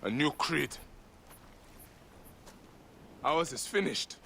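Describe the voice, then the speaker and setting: Game role: resolute, world-weary Egyptian warrior, grave and measured, slight background noise. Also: male voice, a little high, lively intonation, gravelly, sharp tone, full character voice. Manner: solemn, deliberate. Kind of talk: dramatic video game acting.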